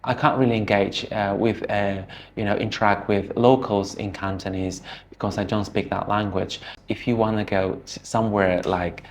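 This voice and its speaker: A man from Russia, an English teacher talking earnestly in an interview, pausing often as he thinks. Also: on the low side, fairly even intonation. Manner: earnest, chatty, with many pauses. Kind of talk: documentary interview talk. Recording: background music and ambient noise.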